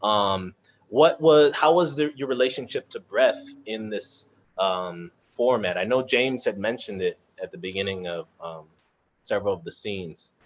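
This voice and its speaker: Low-pitched man moderating, dull lo-fi audio. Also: unhurried, a little hiss or hum. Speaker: moderator of a cast Q&A. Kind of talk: casual panel talk, reading audience questions. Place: remote live video chat.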